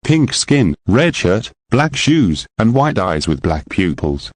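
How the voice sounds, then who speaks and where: A man's voice: lowish voice, big pitch swings, slow pace, no room sound, exaggerated voice. A man playing a television national alert announcer, reading a scripted bulletin in a formal, official, impersonal way. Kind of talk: scripted emergency news bulletin.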